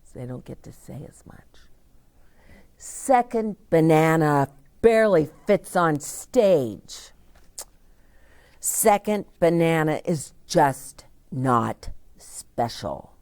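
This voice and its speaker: Lady doing kids story time, really slow and animated, lowish voice, dry close sound. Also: no echo. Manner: deliberate, dramatic, with asides to young listeners. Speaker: storyteller reading children's books aloud. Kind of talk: expressive children's read-aloud.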